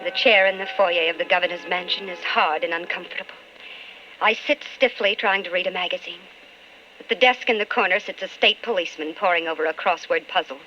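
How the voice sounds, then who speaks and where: Woman playing a low-voiced, reserved wife in radio drama. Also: lightly acted. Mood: brooding. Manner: measured, hushed inner monologue, restrained. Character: candidate's reserved, doubting wife, quietly narrating her thoughts. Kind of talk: old-time radio drama acting. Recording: dull old radio recording with music.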